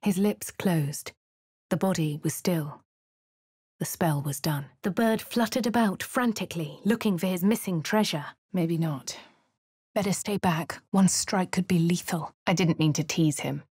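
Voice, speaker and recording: A woman with a posh English accent voicing a wry young female adventurer in a video game, crisp and clipped. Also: lowish voice, a little slow, darkish tone, no echo. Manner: crisp, clipped, dryly sardonic. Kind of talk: video game character voice lines. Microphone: close studio mic. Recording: dry studio recording.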